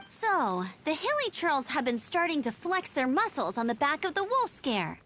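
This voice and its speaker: A woman voicing a calm, decisive young female adventurer in a game. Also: high voice, normal speed, exaggerated voice. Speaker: game protagonist. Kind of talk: game voice acting. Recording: game audio with soft music.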